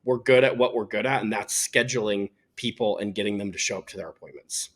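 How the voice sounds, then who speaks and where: A man's voice: fairly low pitch, fairly quick. Candid man explaining his business. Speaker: company founder being interviewed. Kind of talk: explanatory business interview talk.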